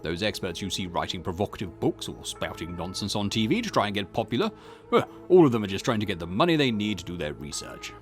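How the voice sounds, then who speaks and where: A man's voice: lowish voice, big pitch swings, quick pace, faint music behind. A man voicing a conceited, irritable historian and occult researcher in a visual novel, pompous, snappish and condescending. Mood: lecturing, self-important. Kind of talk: acted visual novel dialogue.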